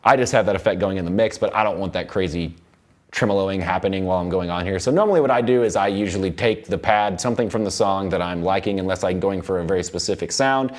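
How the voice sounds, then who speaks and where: An American man with a low-pitched voice teaches audio production in a recording studio. He explains each step casually and informally, often thinking aloud as he works through the software. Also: quick pace. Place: recording studio control room.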